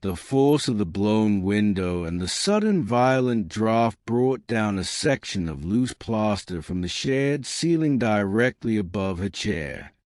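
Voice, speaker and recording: A man narrating a historical romance as an audiobook, in a measured, literary and dramatic manner. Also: fairly low pitch, big pitch swings, darkish tone, smooth, no echo, clean studio sound.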